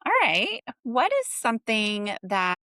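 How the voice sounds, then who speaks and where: A woman's voice: medium pitch, very animated, slow, dry recording. A woman hosting an interview series, upbeat and encouraging toward her guest. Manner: upbeat, warm-toned and encouraging, laughs easily. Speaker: interview host. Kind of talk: hosted video interview.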